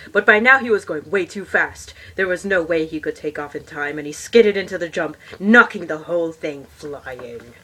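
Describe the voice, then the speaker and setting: A woman voicing a stuffy, horse-loving male troll who reads a storybook aloud in a formal, pompous way, with muttered, exasperated asides and a husky voice. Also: lowish voice, very noisy, natural voice. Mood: disapproving.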